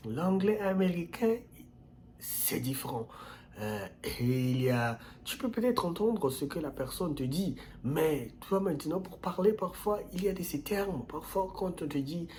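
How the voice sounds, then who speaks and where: A man hosting his own channel, giving earnest advice in a casual way, repeating key phrases and jumping up in pitch on stressed words. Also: darkish tone, slight background noise. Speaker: YouTube channel host.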